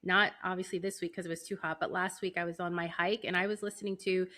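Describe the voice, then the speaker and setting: A woman's voice: on the low side, little pitch movement, quick pace, fairly bright tone. A woman speaking as the host of a podcast, introducing and interviewing in a conversational setting.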